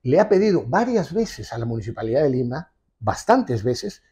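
A man's voice: medium pitch, very animated, moderate pace, warm tone, breathy edge. A man in his sixties, a television host, giving emphatic and insistent political commentary in an office, recorded on a lapel mic. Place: indoor office with leather sofa.

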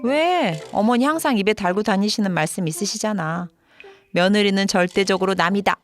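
A woman's voice: medium pitch, moderate pace, natural voice. A woman playing a practical working wife and daughter-in-law, pointed and matter-of-fact, in a dramatized story video. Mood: pointed, sarcastic. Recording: music behind the voice.

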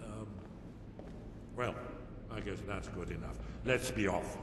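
Flustered old fantasy scholar, theatrical muttering, echoey hall, noisy background. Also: male voice, lowish voice, slow. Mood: hurried, flustered. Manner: theatrical, fussy, scatterbrained. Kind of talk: dramatic fantasy game acting. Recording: distant, echoey hall, loud ambient noise.

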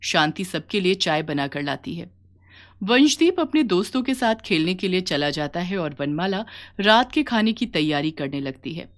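A woman's voice: lowish voice, lively intonation, moderate pace. Woman narrating a scripted story.

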